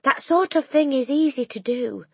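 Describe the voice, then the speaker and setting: A woman voicing a calm, kind young female teacher who speaks steadily. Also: sits high, moderate pace, vocal fry, no echo, pitched above the performer's own voice. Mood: calm firm.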